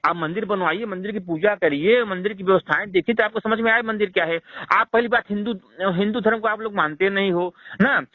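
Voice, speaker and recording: A man with a distinctly high voice argues down a narrow, tinny phone line. He lectures his listener insistently and keeps pressing his point. The sound is dry and closed in. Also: brisk, no room sound. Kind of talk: heated argument on a phone call. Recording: tinny phone-call recording.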